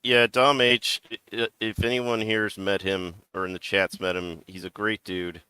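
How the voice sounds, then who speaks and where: A man's voice: lowish voice, flat delivery, unhurried, pushed and bright, clean tone, no echo, low-quality recording. A man panelist chatting casually on a podcast, stammering and restarting his sentences often.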